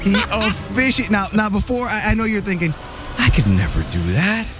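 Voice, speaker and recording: A man hosting a morning radio show, telling entertainment news in a jokey, animated way. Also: normal speed, over music. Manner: animated, jokey, voice jumps high for emphasis.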